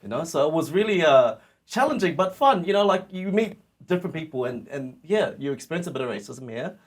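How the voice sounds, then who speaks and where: A young man with a New Zealand accent, co-hosting a casual podcast, thinking out loud and turning questions to the group. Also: fairly high pitch, sing-song, brisk. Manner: reflective, asks the group questions. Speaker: podcast co-host.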